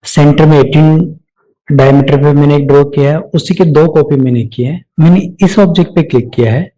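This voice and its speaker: A man with an Indian accent narrating a step-by-step software tutorial, explaining each action aloud as an instructor. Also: on the high side, steady tone, fairly quick, rough recording.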